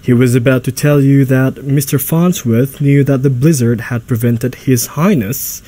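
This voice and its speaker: Casting: male actor as polite, hesitant butler for radio play, soft mellow tone, medium pitch. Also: measured pace, natural voice. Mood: deferential, hesitant. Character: deferential, hesitant household butler. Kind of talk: radio drama acting.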